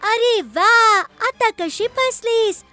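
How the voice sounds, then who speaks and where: A woman voicing a bold, hot-headed child boy god in an animated children's story, with an emphatic, declamatory delivery. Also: very high voice, drawn-out words, soft background music, exaggerated voice. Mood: angry, taunting.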